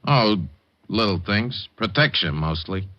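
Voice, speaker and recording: Low-voiced, bossy gangster. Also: male voice, expressive, measured pace. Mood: coolly threatening. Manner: curt, commanding, coolly threatening. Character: curt, bossy male gangster collector, leader of thug pair. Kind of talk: old-time radio crime drama acting. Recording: dull lo-fi vintage radio recording.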